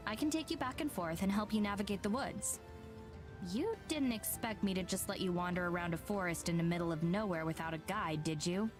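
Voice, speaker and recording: A woman's voice: mid-range voice, fairly quick, close to an everyday voice. An actress playing a self-assured, easygoing woman guide in a visual novel, speaking in a matter-of-fact way. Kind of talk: scripted game dialogue. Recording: game audio under background music.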